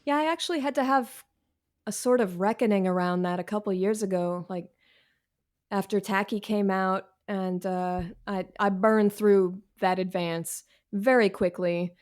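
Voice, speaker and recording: A woman's voice: mid-range voice, unhurried, a little mellow. A woman in her thirties talking candidly as an interview guest, frank, slangy and self-deprecating. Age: 30s. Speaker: author, podcast guest.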